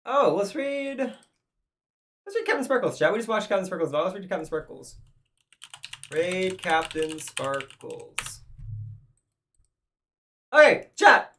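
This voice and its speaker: Hoarse-voiced male streamer, slightly high. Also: very animated, slow pace, pauses often, a bit bright. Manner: rambling, thinking aloud with restarts. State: hoarse, losing his voice. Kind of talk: casual live-stream commentary.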